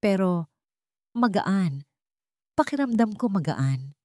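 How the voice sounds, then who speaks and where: A woman acts out a gentle young heiress hiding as a housemaid in an audio drama. She sounds weary, soft and earnest, with lively pitch, recorded dry. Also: takes it slowly, dry recording. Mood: weary, relieved.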